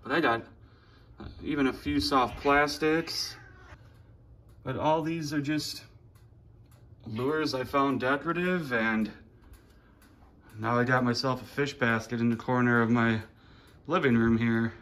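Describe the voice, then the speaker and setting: A man, casually showing off his living room decor on a phone camera in a plainspoken, relaxed way. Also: medium pitch, measured pace, pauses often. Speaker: fishing channel host. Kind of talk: casual show-and-tell vlog. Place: living room at home, indoors. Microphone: handheld phone camera.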